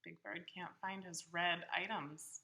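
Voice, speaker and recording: Female children's storybook reader, very bright tone, unhurried, expressive, asking little listeners simple questions. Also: on the low side. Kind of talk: interactive picture-book read-aloud with questions to young children.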